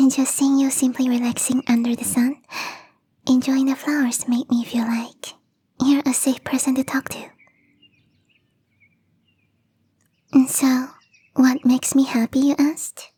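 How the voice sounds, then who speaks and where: Woman acting a young catgirl gardener, very high voice, mellow and whispery, gentle, teasing, earnest, ASMR roleplay. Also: full character voice. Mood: shy, confiding. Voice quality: soft, whispery, breathy. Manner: gently teasing, confiding, addressing the listener directly.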